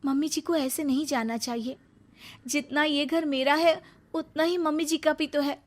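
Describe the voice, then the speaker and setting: A woman as a young daughter-in-law in a cartoon story, voiced high and bright. She complains about her mother-in-law and switches to a sugary tone when she wants a favour. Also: steady tone, moderate pace, natural voice. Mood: earnest.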